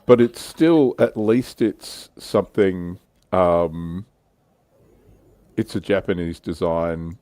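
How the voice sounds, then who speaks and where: Low-voiced man hosting a casual livestream. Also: slow, dark tone. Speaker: livestream host. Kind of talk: casual panel chat, reading out viewer comments.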